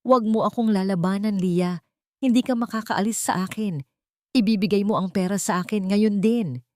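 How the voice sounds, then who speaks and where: A woman's voice: medium pitch, normal speed, mellow, no echo, clean studio sound, pitched above the performer's own voice, natural voice. A woman voicing an angry, greedy, drunken husband in a dramatic story, demanding and desperate. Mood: menacing, controlling.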